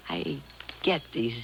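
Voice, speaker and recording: Slow, tipsy flirtatious dame. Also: female voice, sits low, creaky, a bit bright, exaggerated voice. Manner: coy, drawling, playing drunk. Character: tipsy flirtatious blonde dame, coy and breezy. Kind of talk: 1950s radio acting. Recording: dull, hissy old radio tape.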